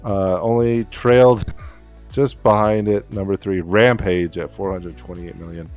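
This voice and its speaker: A man hosting a casual podcast chat in a relaxed way. He chuckles often and reads figures off his notes. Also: deep voice, a little slow, soft background music. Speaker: podcast host.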